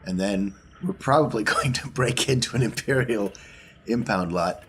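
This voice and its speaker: Very deep-voiced man, halting tabletop player. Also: a bit bright, slight background noise. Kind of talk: casual in-character planning at a tabletop game.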